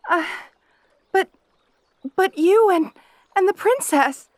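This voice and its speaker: A woman's voice: very high-pitched, expressive, slow, no echo, heavily acted. A woman playing a shy, devoted young princess in a romantic ASMR roleplay, hesitant and deferential, often stammering. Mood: flustered, surprised.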